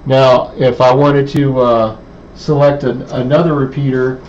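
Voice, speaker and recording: A man giving an unscripted technical demonstration in a halting, hesitant way, thinking aloud as he explains. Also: mid-range voice, flat delivery, a little mellow. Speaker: presenter of an electronics how-to video. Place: indoor electronics workbench. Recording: dull lo-fi audio with clear background noise.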